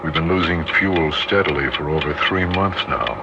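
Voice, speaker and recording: An actor in a 1960s radio drama playing a father who keeps his family calm while they shelter underground. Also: a bass voice, moderate pace, gravelly. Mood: grim, hushed. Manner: hushed, steady, reassuring. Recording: dull old radio recording with heavy noise.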